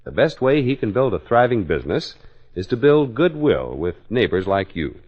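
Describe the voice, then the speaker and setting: A man's voice: on the low side, very animated, normal speed. A man with an American accent reading scripted 1940s radio announcements and sponsor messages. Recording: dull old radio recording with music.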